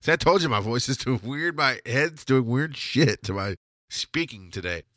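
A man's voice: mid-range voice, lively intonation, moderate pace, a bit bright, no room sound. A man hosting a podcast from his home studio, telling a long personal story in a rambling, profane way with a stuffy nose and frequent laughs. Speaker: podcast host. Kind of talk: casual storytelling monologue.

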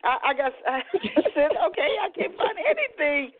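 Woman, radio host, chatty, animated, frequent restarts, thin phone-line sound. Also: sits high, normal speed. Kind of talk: book talk radio interview. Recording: tinny phone-line audio.

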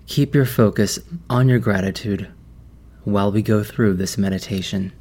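A man leading a guided meditation in a measured, deliberate manner with long pauses. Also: fairly low pitch, a little mellow.

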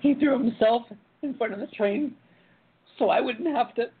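A woman's voice: on the high side, normal speed, very noisy, natural voice. A woman playing a frightened young man who speaks hesitantly and with confusion, on a tinny phone-like line. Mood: bewildered.

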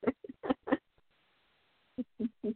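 A woman's voice: very slow, dry recording. A woman hosting a casual talk show, chatty and easily sidetracked, a little tired. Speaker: podcast host. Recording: tinny phone-like line.

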